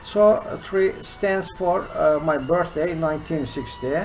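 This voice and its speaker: An older man with a Turkish accent walks through code on a webcam recording made at his desk. His voice has a gravelly, creaky edge. He speaks haltingly and fills every pause with "uh". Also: a little high, darkish tone, a little hiss or hum, rough recording. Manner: halting, with many uh fillers. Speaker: programming teacher. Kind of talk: screen-recorded coding lesson. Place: small room indoors.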